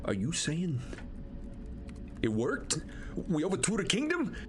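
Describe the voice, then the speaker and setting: A man playing a weakened but defiant young rebel warrior in an audio drama, earnest and expressive. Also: fairly high pitch, unhurried, a little hiss or hum. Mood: questioning.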